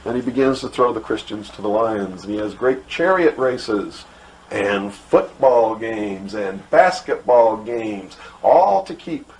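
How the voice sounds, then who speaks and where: Low-voiced American man lecturing emphatically, noisy recording. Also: animated, darkish tone. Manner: emphatic, rhetorical questions, deliberate repetition. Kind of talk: impassioned lecture. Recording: noisy old talk recording.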